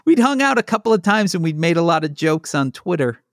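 A man's voice: on the high side, very animated, a bit bright, no echo, clean studio sound. A man co-hosting a podcast, chatting casually and reading listener questions aloud. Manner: slow, easygoing, reads listener questions aloud. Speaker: podcast co-host. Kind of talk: casual chat.

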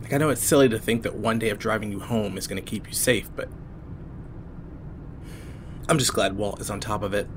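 A man playing a worried, soft-hearted and protective adult, speaking gently and conversationally in an audio drama. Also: fairly low pitch, steady tone, a little fast, pauses often, natural voice. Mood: concerned. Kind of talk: naturalistic audio drama acting. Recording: faint vehicle noise behind. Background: car ride.

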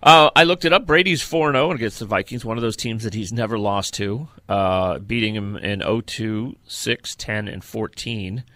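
A man co-hosting a sports podcast in casual football talk, haltingly searching for details as he goes. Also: lowish voice, sharp tone, dry recording.

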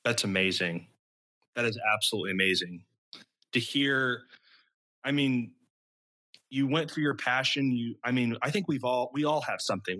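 A man hosting an interview, talking in a halting way and restarting his phrases. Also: lowish voice, bright, forceful tone, poor audio. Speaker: interviewer, host. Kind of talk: conversational interview.

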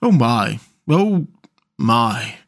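A man voicing a self-pitying, literal-minded male mole in a storybook, in a plaintive, pettish, deliberate way. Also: fairly high pitch, big pitch swings, full character voice. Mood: pained, miserable. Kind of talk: storybook character voice.

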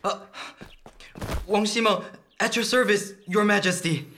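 An actor playing a humble, passionate young court painter in a radio drama, earnest and deferential. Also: male voice, high voice, fairly even intonation, unhurried, pushed and bright, slight background noise. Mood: nervous, pleading.

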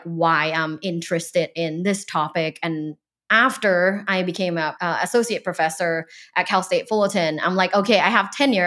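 A woman's voice: fairly low pitch, fairly bright tone. A woman from Thailand, a professor who also coaches people on dating. She speaks as a podcast guest in a loose, chatty way, full of "like" fillers.

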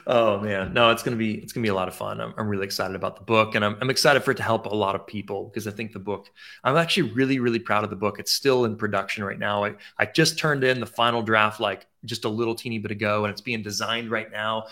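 Upbeat bright-voiced man in his thirties. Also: lowish voice, steady tone, fast talker. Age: 30s. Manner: upbeat, chatty, frequent restarts and fillers. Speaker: photography mentor and host. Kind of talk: casual livestream chat.

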